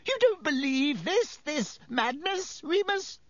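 A man voicing a frightened, cowardly traitor in an audiobook, shrill, whining and breathless. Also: big pitch swings, slow, a bit bright, no echo, heavily acted. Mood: frightened pleading. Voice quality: shrill, squealing. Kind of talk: audiobook character voice.

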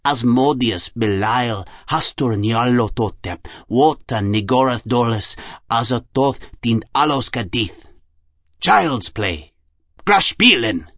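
A man performs a smug psychoanalyst from an audiobook. He sneers and intones each phrase very slowly and deliberately, in a mid-pitched voice with a dry, close sound. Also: expressive, fairly bright tone, no room sound, exaggerated voice. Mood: cryptic intoning. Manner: slow, deliberate, mocking incantation. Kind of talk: audiobook character acting.

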